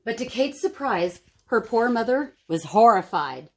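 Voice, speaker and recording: A woman's voice: fairly high pitch, very animated, a little slow. A woman reading a children's storybook aloud as a story-time host, dramatic and explanatory. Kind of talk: expressive children's storybook narration.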